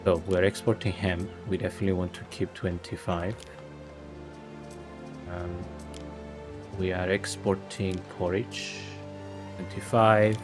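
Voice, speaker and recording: Man, low voice, gaming commentary. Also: music in the background. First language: Greek. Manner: thinking aloud, relaxed and unhurried. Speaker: gaming video host. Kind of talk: casual gameplay commentary.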